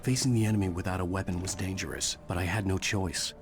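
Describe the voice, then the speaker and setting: An actor playing an intense, driven writer hero in a horror game, speaking in an earnest, grave and deliberate way. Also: male voice, low-pitched, fairly even intonation. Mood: brooding, grave. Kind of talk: brooding scripted game narration and dramatic dialogue. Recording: loud music under the voice.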